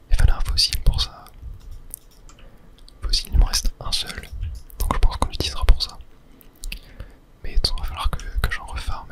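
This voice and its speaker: A video host gives ASMR gaming commentary entirely in a whisper. The delivery is casual and unplanned, murmuring each thought aloud and dotting it with tiny filler words. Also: low-quality recording.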